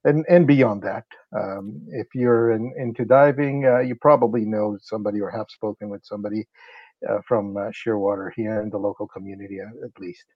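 A man's voice: lowish voice, fairly even intonation, a little fast, no echo, dull audio. A man from Honduras, a company's sales and marketing director, explaining things at length in a casual interview with many fillers.

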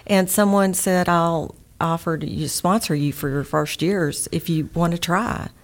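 A middle-aged woman speaks in a low voice with an East Texas accent. She answers as a guest in a casual, conversational interview. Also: unhurried. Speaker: interview guest, home warranty sales manager.

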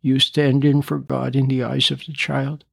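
A man's voice: mid-range voice, level tone, moderate pace, vocal fry, husky, no echo, studio quality. A man in his seventies who stutters at times, giving an earnest talk to parents, repeating and rephrasing his points. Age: 70s. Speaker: speaker on parenting. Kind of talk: recorded talk addressing parents.

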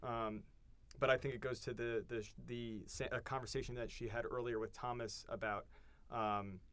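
A man talks through a TV series with friends, circling back and restarting his sentences as he thinks out loud. His voice sits at an ordinary middle pitch. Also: flat delivery, normal speed, smooth, no room sound. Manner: rambling, restarts often, thinks aloud. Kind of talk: casual chat recapping a TV series.

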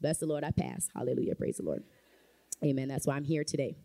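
A woman's voice: extremely low pitch, very animated, fast, creaky, dark tone. A woman preaching a sermon in a church, emphatic and rising to fervent calls of amen. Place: church sanctuary.

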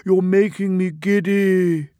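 A man's voice: high-pitched, steady tone, takes it slowly, dark tone, dry recording, studio quality, voice pushed up. A man voicing a curt, put-upon male diesel engine, easily bewildered, in a children's storybook. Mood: giddy, bewildered.

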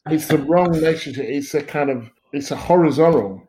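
A man's voice: a little high, brisk, low-quality recording. A man in his fifties, a podcast guest, speaking thoughtfully with hesitant restarts. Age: 50s. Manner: thoughtful, hesitant restarts, soft murmured agreement. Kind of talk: casual conversation.

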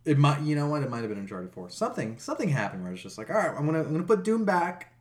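Male podcast co-host, animated intonation, sarcastic and incredulous, casual and sweary. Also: on the high side, racing. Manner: sarcastic, incredulous, laughs easily. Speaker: video game podcast co-host. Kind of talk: casual chat with profanity.

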